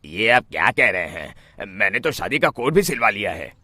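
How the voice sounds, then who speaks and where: A man's voice: fairly low pitch, sing-song, normal speed, sharp tone, no room sound, full character voice. A man voicing a nervous young village bridegroom in a children's cartoon, anxious and pleading. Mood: dismayed. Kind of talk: children's cartoon story acting.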